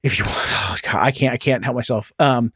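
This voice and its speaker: A man's voice: medium pitch, moderate pace, a bit bright, husky, no echo, studio quality. A man in his thirties co-hosting a podcast, talking casually in a rambling way and laughing often. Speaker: podcast co-host. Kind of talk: casual chat.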